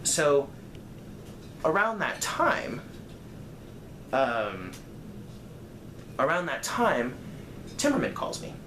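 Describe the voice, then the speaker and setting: A man's voice: fairly high pitch, very animated, extremely slow, long pauses, bright, forceful tone. A man telling a personal story casually to viewers, acting out bits of dialogue as he goes. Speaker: storyteller vlogger. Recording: roomy echo, clear background noise.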